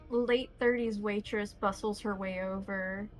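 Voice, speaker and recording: A woman narrating a tabletop roleplaying game as game master, describing scenes in deliberate detail. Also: medium pitch, steady tone, faint music behind.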